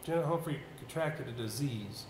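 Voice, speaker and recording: A man on stage playing a grave local man who solemnly and haltingly recounts a village haunting. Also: mid-range voice, lightly acted. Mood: grim, haunted. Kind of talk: stage play dialogue. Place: indoor theatre stage. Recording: echoey amateur stage, faint noise.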